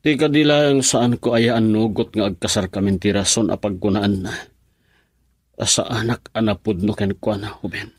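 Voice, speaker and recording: A man's voice: on the low side, normal speed, husky, dry recording, clean studio sound. A man with an Ilocano accent playing a heartbroken young husband in a radio drama. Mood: pained.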